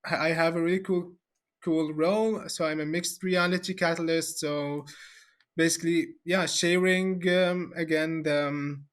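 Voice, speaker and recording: A man from Syria, a guest expert in a video interview, talking in a halting way with many fillers and repeated words. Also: fairly high pitch, steady tone.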